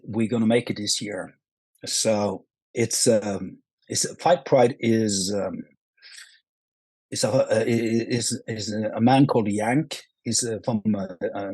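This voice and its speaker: Husky Norwegian-accented man, halting speech. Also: on the low side, mellow, dry recording. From Norway. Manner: halting, many restarts and fillers. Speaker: actor, guest. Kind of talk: casual interview.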